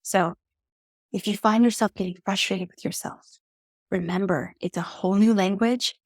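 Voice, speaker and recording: A woman hosting a podcast, giving earnest, encouraging advice in a steady, reflective teaching monologue. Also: medium pitch, normal speed, dry recording, clean studio sound. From native English speaker. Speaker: podcast host.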